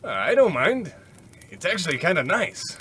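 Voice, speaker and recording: An actor playing a meek, dutiful police lieutenant with a deferential manner in an old-time radio comedy style. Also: male voice, sits high, big pitch swings, unhurried, fairly bright tone, slightly rough, full character voice. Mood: meek, hesitant.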